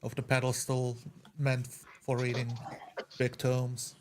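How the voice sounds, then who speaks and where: Male roleplay player, flat even delivery, faint background noise on the mic. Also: mid-range voice, takes it slowly. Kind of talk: in-character roleplay, narrating own actions.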